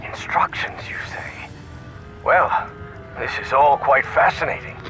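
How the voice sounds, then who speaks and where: An actor playing a protective, stern, scholarly professor in a video game, with formal, precise diction. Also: male voice, medium pitch, a little slow, gravelly, in a whisper, music in the background, poor audio. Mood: wary.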